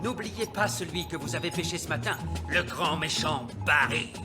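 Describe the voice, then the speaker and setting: A man voicing a brisk, bossy elf submarine captain in a children's cartoon, with a declamatory, commanding manner. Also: a little high, loud music behind the voice, natural voice. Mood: grave explaining. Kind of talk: animated cartoon dubbing.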